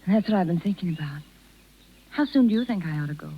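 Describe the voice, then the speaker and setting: An American actress playing a sweet, hesitant young circus rider in a 1930s radio drama. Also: female voice, lowish voice, animated, quick pace, a little hiss or hum. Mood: troubled, hesitant. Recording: dull 1930s radio recording.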